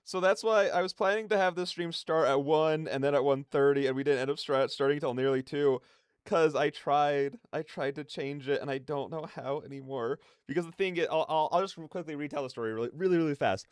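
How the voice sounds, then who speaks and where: A man hosting a casual reaction livestream, rambling in chatty, slangy speech with an animated, self-deprecating manner. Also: fairly high pitch, fairly quick, clean tone, dry recording. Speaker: livestream host.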